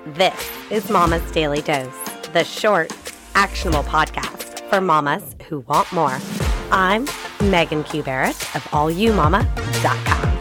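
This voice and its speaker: A woman in her thirties hosting a short motivational podcast, hoarse and raspy from a cold, talking straight to her listeners in an encouraging way. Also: sits low, measured pace, music in the background. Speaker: podcast host.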